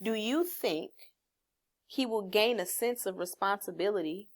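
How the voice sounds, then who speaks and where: A woman's voice: medium pitch, unhurried. A woman teaching a read-aloud lesson to children, explaining simply and encouragingly, posing questions and then answering them herself. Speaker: elementary reading teacher.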